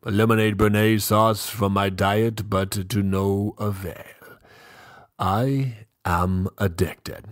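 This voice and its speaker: A man playing a pompous, fussy, self-indulgent gentleman host who gives mock-literary dramatic readings with drawn-out, theatrical pauses. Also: on the low side, fairly even intonation. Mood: indulgent, mock-tragic.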